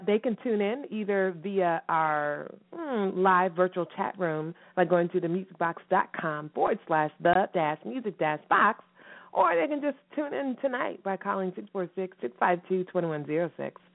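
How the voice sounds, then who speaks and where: A woman hosting a radio show in a lively, chatty way, her voice slightly hoarse and raspy from a recent cough. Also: mid-range voice. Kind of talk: casual radio chat. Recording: phone-line call-in radio.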